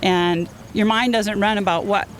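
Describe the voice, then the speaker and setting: A woman in her fifties, a roundup rider, telling stories in a lively way and laughing easily during a casual outdoor interview. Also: mid-range voice, normal speed. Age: 50s. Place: outdoors at a busy event. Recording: noisy outdoor field recording.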